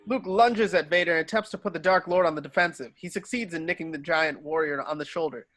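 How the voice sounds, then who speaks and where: A man's voice: on the high side, quick pace, a bit bright, no echo. A man narrating scripted stage directions for a table read.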